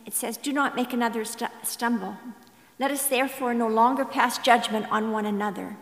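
A woman reading scripture aloud to a congregation in a slightly halting way, recorded in a reverberant church. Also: fairly high pitch, steady tone. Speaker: scripture reader at a church service. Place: large indoor church space. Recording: echoey, reverberant church hall.

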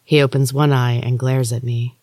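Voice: medium pitch, level tone, moderate pace, darkish tone, dry recording, studio quality. A podcast reader narrating a first-person story from a script. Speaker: audiobook reader, podcast host.

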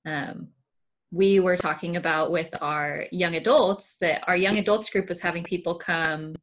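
A woman's voice: fairly low pitch, fairly even intonation, a little slow. A woman hosting a casual interview over a video call, chatting conversationally with her guest. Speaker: interviewer, church project associate director.

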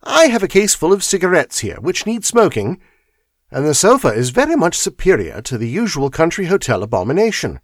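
A man's voice: a little high, huge pitch swings, brisk, no echo, clean studio sound, pitched above the performer's own voice, heavily acted. A man doing a lofty, offhand detective. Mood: aloof. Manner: crisp, offhand, slightly lofty. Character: cool, aloof male detective, dryly amused.

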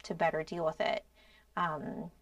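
Earnest lower-voiced woman, slightly off mic. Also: level tone, unhurried, no room sound, distant mic. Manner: earnest, many "um"s, soft trailing phrases. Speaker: podcast co-host. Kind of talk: casual explanatory talk.

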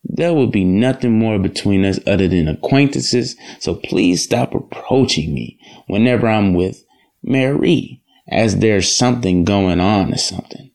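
A man's voice: fairly low pitch, unhurried, creaky, darkish tone. A man playing a firm, irritated young suitor in an audio drama, scolding and insistent.

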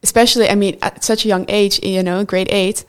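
A woman's voice: medium pitch, steady tone, fairly quick, smooth. A teenage girl from the Netherlands, answering questions as a student guest in an interview. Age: teens.

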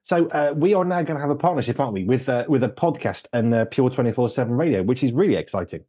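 A man's voice: mid-range voice, lively intonation, fast talker, dark tone, husky. A man hosting an interview, chiming in with short agreements and asking questions. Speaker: podcast and radio host.

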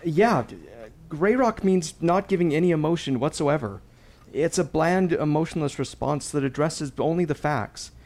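A man playing an earnest team member explaining coping tactics in an audio drama, in a measured way. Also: fairly high pitch, darkish tone.

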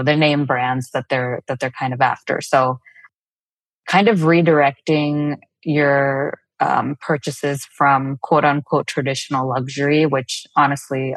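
A woman with Telugu as her mother tongue answers as a guest in a casual interview. Her voice sits low, and the sound is dry with very little room around it. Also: dry recording, low-quality recording. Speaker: guest, fashion brand founder.

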